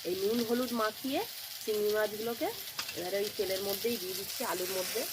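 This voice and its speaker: A woman with a mid-range voice narrates home cooking at a brisk clip. She explains each step plainly, repeating her phrases as she instructs. Loud kitchen noise of frying and stirring runs heavily through the recording. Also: mid-range voice. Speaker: village home-cooking video host.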